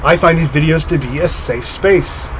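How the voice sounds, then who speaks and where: A man talking slowly and dreamily to the camera, giggling softly and trailing off, his voice slightly hoarse. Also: fairly high pitch, noisy background. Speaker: spiritual vlogger. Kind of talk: reflective solo monologue.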